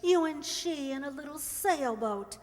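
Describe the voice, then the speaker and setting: Worldly news photographer, female actor: dry wit, needling, animated higher voice, reverberant stage radio play. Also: measured pace. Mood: wry, needling. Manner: wry, needling. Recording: echoey hall, stage radio performance.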